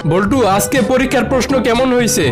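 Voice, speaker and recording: Woman as a blunt, fast-talking cartoon quizmaster. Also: a little high, lightly acted. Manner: blunt, questioning. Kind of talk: scripted cartoon joke skits. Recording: music behind the voice.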